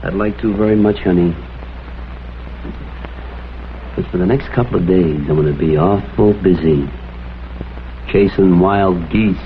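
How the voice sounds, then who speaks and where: A man's voice: low-pitched, normal speed, a little hiss or hum, natural voice. An American man playing a tough, wry freelance photographer in a 1950s TV crime drama, terse and sardonic. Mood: gruff, softening. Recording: tinny, band-limited vintage TV soundtrack with music.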